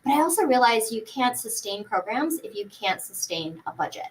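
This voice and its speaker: A woman's voice: on the high side, big pitch swings, normal speed, low-quality recording. Earnest woman pharmacist, chatty guest. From United States. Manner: earnest, fluent, with frequent fillers and restarts. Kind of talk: conversational podcast interview.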